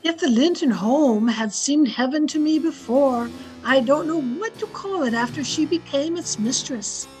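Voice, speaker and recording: A woman playing a devoted servant who tells the story in a radio drama, measured and dutiful. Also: high voice, animated, a little mellow, faint music behind, rough recording. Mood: reflective. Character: Ellen, devoted old female servant telling a story.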